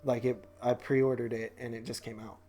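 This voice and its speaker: A man's voice: mid-range voice, little pitch movement, normal speed, warm tone. A man co-hosting a movie podcast in casual, slangy chat, often dropping in "like" and "man". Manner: slangy, informal, heavy use of "like" and "man".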